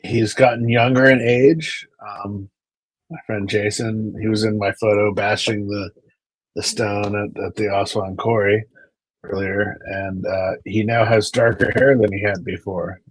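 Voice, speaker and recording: A man's voice: fairly low pitch, steady tone, a little slow, dry recording, rough recording. A man chatting on a video call, rambling into stories and repeating words as he goes. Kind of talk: casual chat.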